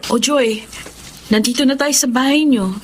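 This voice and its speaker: Babaeng gumaganap na usiserang kaibigan, mataas ang boses. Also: female voice, sits high, moderate pace, slight background noise, lightly acted. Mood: wary. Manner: questioning. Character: inquisitive, wary female friend. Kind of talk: Filipino drama acting.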